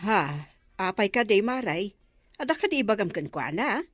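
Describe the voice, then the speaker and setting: An unbothered village woman with an Ilocano accent, questioning and retorting in a radio comedy. Her mid-pitched voice moves very animatedly in a dry, close recording. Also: very animated, normal speed, dry recording. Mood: dismissive.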